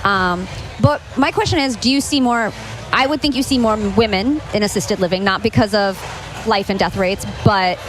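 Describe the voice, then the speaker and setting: A woman's voice: mid-range voice, normal speed, rough recording. An American woman chatting informally and asking questions as a podcast host. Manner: informal, inquisitive. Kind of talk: casual chat. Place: live podcast at a restaurant. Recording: music behind the talk. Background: music.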